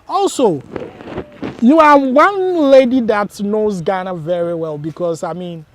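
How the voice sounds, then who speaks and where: A man's voice: sits high, unhurried, slight background noise. A man hosting an interview, asking questions and encouraging with frequent short replies. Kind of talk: casual interview.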